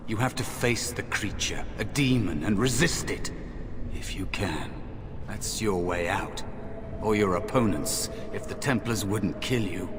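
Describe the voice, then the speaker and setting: A wary spirit of a dead apprentice in a fantasy game, low and unhurried. He speaks with hushed, bitter weariness, drenched in long reverb over a noisy background. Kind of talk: fantasy video game voice acting.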